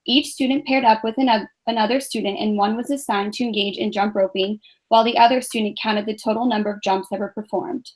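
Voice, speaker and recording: A woman in her twenties reading a class research presentation in an even, measured way. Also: medium pitch, poor audio. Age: 20s. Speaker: graduate student presenter.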